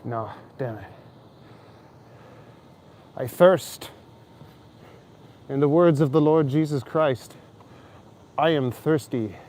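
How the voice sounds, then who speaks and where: A man's voice: medium pitch, slow, long pauses, a little mellow, slight background noise. Rambling Ontario Canadian man outdoors. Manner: rambling, joking, chuckles often. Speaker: streamer talking to chat. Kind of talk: casual walking livestream chat. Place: outdoor city square in winter.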